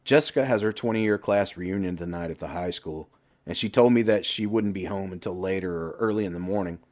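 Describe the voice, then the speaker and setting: A man's voice: low-pitched, a little fast, a little mellow, lightly acted. A man voicing a troubled middle-aged husband in an audiobook story, earnest and easily exasperated. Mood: tentative.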